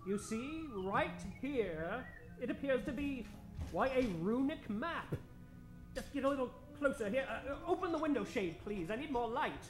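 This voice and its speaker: A man's voice: extremely high pitch, expressive, normal speed. A man playing a learned, mysterious adventurer-scientist and mentor in an old-time radio serial, musing and instructing theatrically. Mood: eager, excited. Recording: echoey live stage, music behind.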